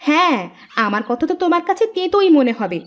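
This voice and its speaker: A woman playing a put-upon young daughter-in-law in a cartoon, expressive and sharp-tongued, prone to sarcastic complaint. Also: very high voice, fast, lo-fi sound. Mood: aggrieved, sharp. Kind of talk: cartoon voice acting, fairy-tale drama.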